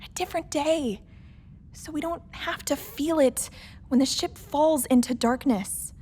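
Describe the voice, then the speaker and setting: A woman's voice: sits high, unhurried, warm tone, a little hiss or hum. A woman playing a sly, playful young starship crew member in a radio play, coaxing and teasing as she talks. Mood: coaxing, defensive.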